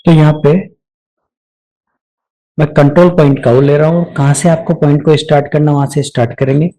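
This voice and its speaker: A man giving a step-by-step software tutorial off the cuff, mixing English technical terms into his speech. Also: a little high, steady tone, fairly quick, a little hiss or hum, poor audio. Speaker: software instructor.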